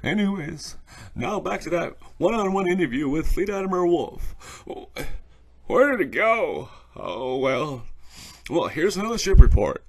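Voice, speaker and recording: A man's voice: a little high, very animated, measured pace, creaky, breathy edge, no echo. A man hosting a scripted fictional news podcast, with playful, mock-naive reactions between segments. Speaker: podcast host. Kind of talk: light scripted presenting of a fictional news show.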